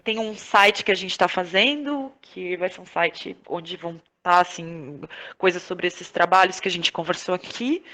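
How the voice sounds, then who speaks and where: A woman's voice: fairly low pitch, normal speed, pushed and bright, low-quality recording. A woman with a Brazilian Portuguese accent chatting informally and effusively as an interview guest. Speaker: podcast guest, playwright, actress and director. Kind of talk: casual interview conversation.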